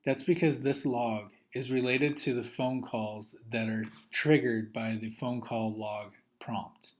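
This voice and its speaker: Methodical man narrating a software tutorial. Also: medium pitch, fairly even intonation, slow pace. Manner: methodical, instructional. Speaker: software trainer.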